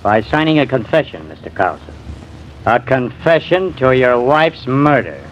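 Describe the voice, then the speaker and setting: An actor playing a shrewd, folksy, needling police detective in a vintage radio drama, sly and pressing. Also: male voice, medium pitch, big pitch swings, moderate pace, close to an everyday voice. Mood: accusing. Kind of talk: 1950s radio drama acting. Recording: muffled, noisy old radio recording.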